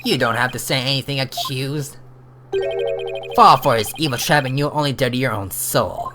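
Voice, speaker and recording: A player reading the lines as a lofty young prosecutor who looks down on everyone. The voice sits at an ordinary middle pitch, cold and disdainful. Game music plays clearly underneath. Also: moderate pace, close to an everyday voice. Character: stern, scornful young male prosecutor. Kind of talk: reading game dialogue aloud.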